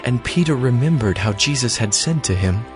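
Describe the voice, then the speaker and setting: Mid-pitched male narrator with music. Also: animated, moderate pace. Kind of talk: scripted scripture narration.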